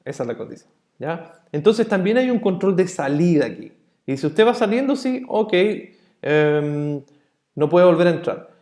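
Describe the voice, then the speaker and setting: A man teaching a networking course in a relaxed, chatty way. He often asks the class short check-in questions and explains ideas with everyday comparisons. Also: on the high side, moderate pace. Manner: illustrates points with everyday analogies. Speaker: networking instructor. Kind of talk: explanatory online lesson. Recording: roomy echo.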